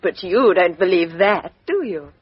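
A woman's voice: lowish voice, expressive, a little slow. An actress playing a bitter, defiant young English woman who speaks her mind coldly, in 1950s radio drama style. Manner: crisp, cold, outspoken. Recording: dull old radio recording.

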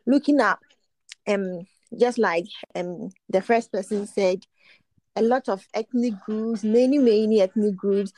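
A woman's voice: mid-range voice, darkish tone, dry recording, low-quality recording. Ghanaian woman, close dry sound, conversational. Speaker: panel guest. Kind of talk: casual conversational answer.

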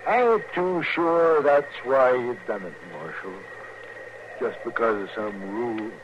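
Through a muffled, noisy old radio recording comes a man acting a sullen cowboy outlaw. His mid-pitched voice has a rural Western American drawl, folksy and distrustful, in the manner of 1950s radio westerns. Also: lively intonation, measured pace, lightly acted. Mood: sullen, puzzled. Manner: drawling, uneducated folksy speech. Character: sullen, distrustful cowboy cattle rustler under arrest.

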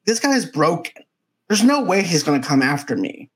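A man's voice: a little high, moderate pace. A man co-hosting a movie review podcast, chatting casually and eagerly retelling scenes from the film. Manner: animated scene-by-scene retelling, quotes lines from the film.

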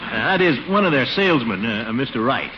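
An actor playing a pompous, blustery middle-aged commissioner who is easily exasperated, in 1940s radio sitcom style. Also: male voice, medium pitch, sing-song, fast, lightly acted. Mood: pleased, evasive. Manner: pompous, blustering, chuckling, exclamatory. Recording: noisy, muffled 1940s radio broadcast recording.

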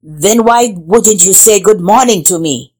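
A woman's voice: mid-range voice, a little slow, a little mellow, husky. A woman voicing an aggrieved, suspicious male python in a children's storybook, with a complaining delivery.

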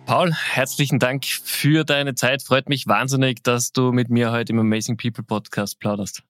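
A man's voice: mid-range voice, flat delivery, moderate pace, a bit bright, no room sound. A man hosting a podcast, introducing the episode and asking his guest questions with enthusiasm. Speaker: podcast host.

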